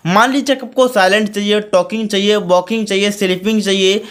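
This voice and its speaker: A man's voice: high voice, steady tone, fairly quick, a bit bright, clean tone. A man hosting a how-to tutorial, talking chummily to viewers as friends while narrating his screen. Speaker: tutorial channel host. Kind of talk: screen-recorded tutorial commentary.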